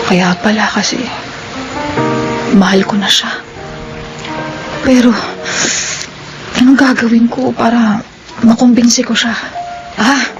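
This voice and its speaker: A woman's voice: mid-range voice, dull audio, close to an everyday voice. A woman playing a strong-willed, guarded and earnest tomboy in a radio drama. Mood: musing. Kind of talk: radio drama acting in Filipino soap.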